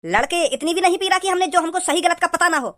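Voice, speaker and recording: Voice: very high voice, fast, background noise. A performer voicing blustering village men in a comedy cartoon, repeating words. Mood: threatening.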